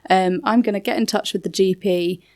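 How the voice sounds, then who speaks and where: A woman's voice: medium pitch, steady tone, a little fast, darkish tone. A woman speaking as a radio interview guest, explaining things in an upbeat, conversational way. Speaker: interview guest, mental health charity health engagement officer.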